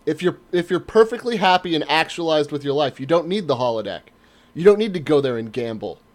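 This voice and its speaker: A man's voice: on the high side, lively intonation, fairly quick. A middle-aged man chatting casually as a podcast host, opinionated and sarcastic, with plenty of swearing. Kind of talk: casual chat.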